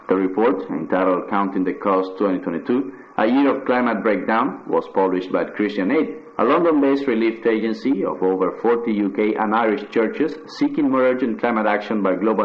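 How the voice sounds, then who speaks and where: Male radio newsreader, lowish pitch, scripted news narration, muffled lo-fi broadcast sound. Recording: dull, band-limited shortwave-style radio sound.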